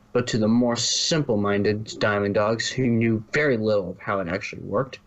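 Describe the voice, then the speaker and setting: A man's voice: lowish voice, low-quality recording. A man reading scripted story narration, now and then stumbling and rereading a phrase.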